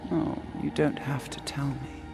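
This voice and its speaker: Mocking supernatural female villain for a fantasy game: unhurried, imperious, taunting, music behind. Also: rumbling low, flat delivery, creaky, heavily acted. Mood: taunting, probing. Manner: commanding, taunting.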